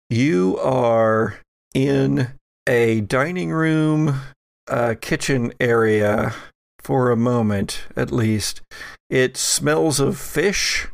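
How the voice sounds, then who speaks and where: A man acting as game master, narrating a tabletop roleplaying game in a halting, deliberate, improvised way. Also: medium pitch, vocal fry, no room sound, studio quality.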